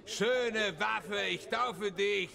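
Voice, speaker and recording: A man's voice: sits high, very slow, creaky, full character voice. An actor playing a rowdy, boisterous bandit henchman declaiming in a video game. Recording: long hall reverb, noisy crowd behind.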